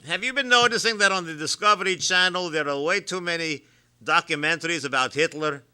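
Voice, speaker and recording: A man hosting a comedy radio show, teasing his guest in a deadpan manner and stammering on restarts. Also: fairly high pitch, fairly quick, smooth.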